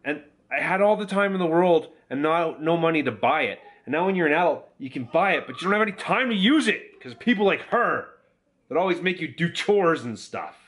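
A man's voice: a little high, expressive, a little fast, fairly bright tone. A man in his thirties vlogging about his family day in a casual, upbeat, chatty way, filming himself at arm's length in a van and at home. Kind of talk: casual vlog chat. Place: inside a family van, then a living room. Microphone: camera held at arm's length.

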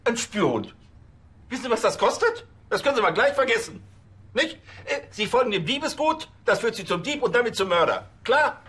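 An actor playing an irritable, flustered police chief who scolds and stammers in a TV crime comedy. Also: male voice, very high voice, very animated, brisk, bright, forceful tone, full character voice. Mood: indignant, bossy. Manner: indignant, stammering. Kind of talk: German TV crime comedy acting.

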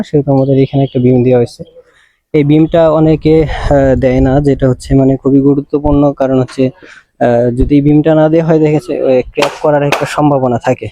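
A man with a Bangladeshi accent gives an informal on-site instructional explanation as an engineer, repeating himself and hesitating, with frequent filler phrases. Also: fairly high pitch. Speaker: civil engineer presenting a how-to video. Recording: music running behind the voice.

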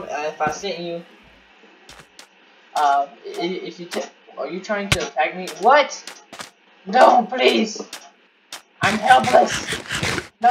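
An excitable man chats through a game as he plays, rambling and tripping over his own words. He sits back from the microphone, so he sounds a little far off. A steady noise hangs behind his voice. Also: high voice. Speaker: gameplay commentator. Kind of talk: casual gaming commentary. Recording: distant mic with noisy background.

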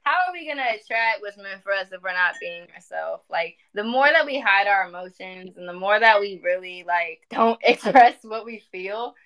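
A woman in her twenties talking casually on a livestream, animated and emphatic, often repeating phrases for emphasis. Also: mid-range voice, normal speed, few pauses, clean tone, low-quality recording. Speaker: spiritual community speaker. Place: indoor room at home.

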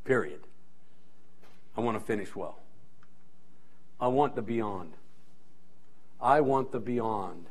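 A man's voice: lowish voice, takes it slowly, pauses often, breathy edge. A middle-aged male pastor delivering a sermon on a church stage into a handheld mic, emphatic and repeating key phrases as he moves around. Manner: animated, strolling the stage and gesturing.

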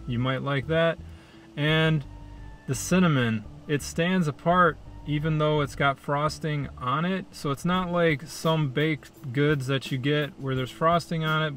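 A man's voice: a little high, a bit bright. A young man co-hosting a food vlog from a parked car, easygoing with dry little jokes. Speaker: food vlogger co-host. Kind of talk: casual food review chat. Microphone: camera on dashboard.

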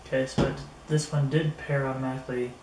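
A middle-aged man giving a casual, unhurried gear review to camera, speaking in a mild, somewhat hesitant way. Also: medium pitch, little pitch movement. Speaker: product reviewer. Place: small indoor room at a table. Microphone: camera a few metres away. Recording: roomy, distant camera mic with noticeable noise.

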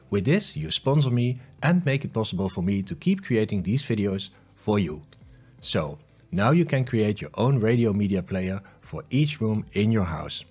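A man in his fifties narrating a step-by-step software tutorial in short instructional phrases, recorded on a close studio mic. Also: on the low side, husky. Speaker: host of smart home tutorial videos. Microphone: close studio mic on a boom arm.

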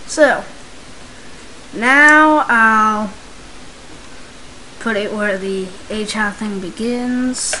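A speaker giving a casual, unscripted step-by-step software tutorial, recorded at home. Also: medium pitch, drawn-out words, long pauses, low-quality recording. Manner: informal, unscripted step-by-step narration. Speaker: tutorial maker. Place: indoors, home computer screen recording. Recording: hissy, clicky home microphone recording.

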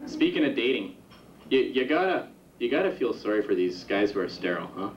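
A young man doing a stand-up comedy set in a club, with a rambling, halting delivery full of restarts. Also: medium pitch, very animated, recorded from a distance, noisy background. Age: young adult. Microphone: handheld stage mic on a stand. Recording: roomy, dull 1991 camcorder tape from the audience. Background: audience laughter.

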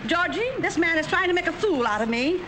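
An actress playing a fussy, haughty middle-aged woman shopper in a 1940s film, with a shrill, indignant way of talking. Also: expressive, fairly quick. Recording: hissy 1940s film soundtrack.

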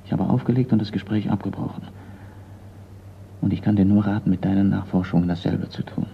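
An actor playing a blunt, sardonic, wary forgery expert in a radio crime drama. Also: male voice, deep voice, steady tone, a little fast, gravelly. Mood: uneasy. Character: gruff, wary museum craftsman and forgery expert, a man. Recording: dull, noisy radio play recording.

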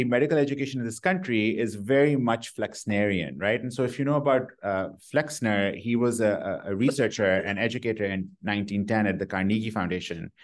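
A middle-aged man giving an academic lecture on a room microphone, talking eagerly in quick bursts and poking fun at himself. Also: fairly low pitch. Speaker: pathology grand rounds speaker. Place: lecture hall. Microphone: room microphone, uneven volume.

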